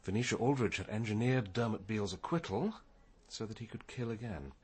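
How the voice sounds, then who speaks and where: An actor playing a thoughtful senior police detective in a radio crime drama, measured and deliberate. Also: male voice, on the low side, a little mellow, breathy edge. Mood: intent, explanatory. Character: methodical, thoughtful senior police detective, male, quietly probing.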